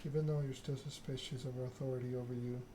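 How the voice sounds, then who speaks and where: A man's voice: medium pitch, little pitch movement, fast, background noise. A man doing an ASMR read-aloud of a narrative game, occasionally stumbling over the written text. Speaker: gaming channel host.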